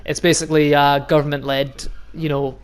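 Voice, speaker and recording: A young Highland man with a Scottish accent talks straight to his channel's viewers, rambling and swearing as he goes. His voice sits a little high for a man and comes across smooth and clear. He laughs easily between thoughts. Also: flat delivery, a little fast. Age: 20s. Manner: chatty and rambling, swears freely, laughs often. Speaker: vlogger and youth football coach. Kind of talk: casual monologue to camera.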